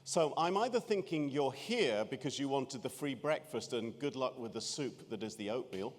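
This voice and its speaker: A man's voice: medium pitch, expressive, moderate pace. A man from Scotland with a Scottish accent giving a keynote talk in a conference room, wry and self-deprecating, with many restarts. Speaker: keynote speaker. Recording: reverberant conference hall.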